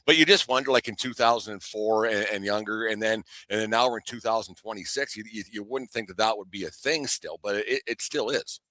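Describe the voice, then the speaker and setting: A man with a Canadian accent hosting a casual sports interview, asking roundabout questions with halting, repeated words. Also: lowish voice, bright, forceful tone, no room sound, low-quality recording.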